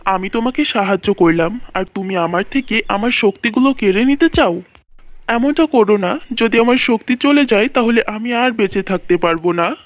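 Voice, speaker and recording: A woman's voice: mid-range voice, moderate pace, dry recording, lightly acted. A woman voicing a kind, weary magical tree in an earnest manner for a cartoon fairy tale. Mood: pleading.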